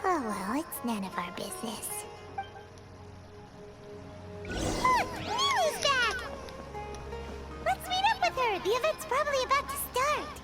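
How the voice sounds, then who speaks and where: A woman's voice: extremely high pitch, huge pitch swings, takes it slowly, long pauses, heavily acted. A woman voicing a tiny, chirpy, childlike fairy companion in an anime video game. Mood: excited. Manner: speaks of self in third person. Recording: loud game music behind.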